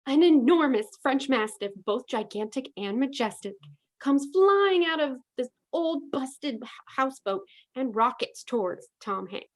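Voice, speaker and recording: A woman narrating a comedy radio play with deadpan, matter-of-fact delivery. Her voice sits quite high. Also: measured pace.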